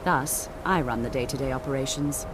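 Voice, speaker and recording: A woman's voice: extremely low pitch, fairly quick, noisy background. An actress playing a stern, businesslike senior wizard woman in a fantasy video game, formal and authoritative. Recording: loud outdoor ambience behind.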